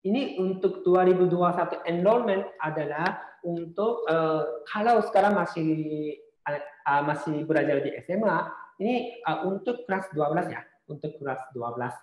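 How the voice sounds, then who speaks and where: A man giving an informal online seminar presentation as a speaker on studying abroad, talking in a halting, reassuring way with frequent hesitant pauses. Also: on the high side. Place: indoors, online seminar call. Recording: muffled, roomy online call audio.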